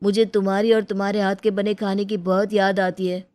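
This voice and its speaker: A woman's voice: mid-range voice, little pitch movement, brisk, dark tone, lightly acted. A woman voicing a troubled, apologetic village husband in a cartoon story, pleading earnestly. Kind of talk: cartoon moral story dialogue.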